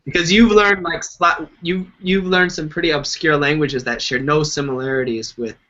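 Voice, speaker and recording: A man's voice: on the high side, fairly even intonation, moderate pace, pushed and bright. A man hosting a casual discussion, framing questions hesitantly with many ums. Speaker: host. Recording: dull lo-fi live stream audio.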